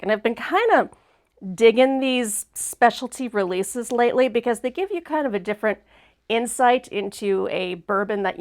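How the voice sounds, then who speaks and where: A woman hosting a casual livestream chat, sounding a bit sniffly and congested, talking in a chatty, upbeat way. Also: medium pitch. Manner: chatty and upbeat, laughs easily. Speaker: host.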